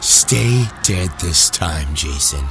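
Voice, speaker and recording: A man's voice: sits low, animated, creaky, over loud music, heavily acted. A man playing a menacing, gloating villain pilot in an audio drama. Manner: slow, gloating. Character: menacing male villain pilot, the evil Green Ranger.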